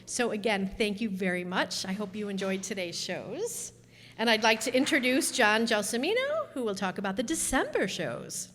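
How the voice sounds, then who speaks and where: A woman's voice: medium pitch, sing-song, moderate pace, a bit bright. A woman leading a community radio troupe, chatting casually as she thanks the cast after a show. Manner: chatty, playful. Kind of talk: casual curtain-call announcements. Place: stage before a live audience. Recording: noisy live hall with audience. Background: audience murmur.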